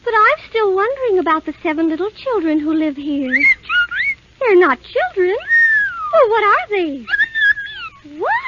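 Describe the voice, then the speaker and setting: An actress playing a gentle, sweet young princess in a 1930s radio drama. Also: female voice, very high voice, big pitch swings, unhurried, long pauses, heavy background noise, full character voice. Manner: soft, sweet, wondering. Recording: dull old radio broadcast with music.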